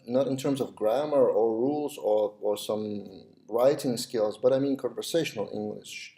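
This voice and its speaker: Mellow Lithuanian man talking to webcam. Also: on the low side. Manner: hesitant, many restarts and fillers. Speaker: web developer vlogger. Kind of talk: casual talk to camera. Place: small office, indoors.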